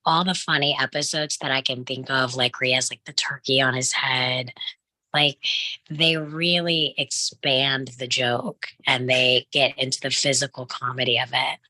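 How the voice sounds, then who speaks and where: Woman, very low pitch, bright forceful tone, dry close sound, informal discussion. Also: no room sound. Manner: informal, uses "like" often. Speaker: panel participant. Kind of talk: casual discussion.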